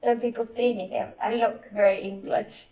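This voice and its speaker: A woman's voice: on the high side, level tone, a little fast, mellow, poor audio. A young woman who grew up in Spain, chatting easily on a family podcast with lots of "like" and "um.". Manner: easygoing, lots of "like" and "um". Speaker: hosts' daughter, guest. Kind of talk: casual family podcast chat.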